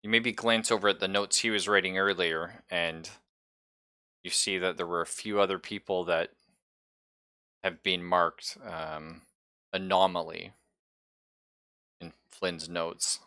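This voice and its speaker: A man improvising narration as game master of a horror tabletop roleplaying game, with halting, suspenseful storytelling. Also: low voice, fairly even intonation, sharp tone, smooth.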